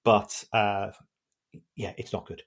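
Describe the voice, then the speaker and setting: Gravelly man explaining carefully. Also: lowish voice, steady tone, takes it slowly, faint music behind. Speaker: podcast expert and analyst. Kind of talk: explanatory conversational analysis.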